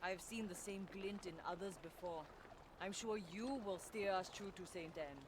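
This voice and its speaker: A man's voice: high voice, normal speed, natural voice. A man playing a hopeful seafaring pirate in a video game, speaking in flowery, fatalistic phrases. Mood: hopeful, welcoming. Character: poetic, hopeful seafaring pirate crew member. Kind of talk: video game character acting. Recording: noisy sea and boat ambience.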